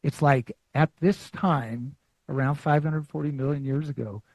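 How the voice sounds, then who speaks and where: A man giving a public talk with slides, relaxed and rambling, with many fillers and restarts, and joking about himself. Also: a little high, moderate pace, slightly rough, no echo, low-quality recording. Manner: self-deprecating. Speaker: guest lecturer.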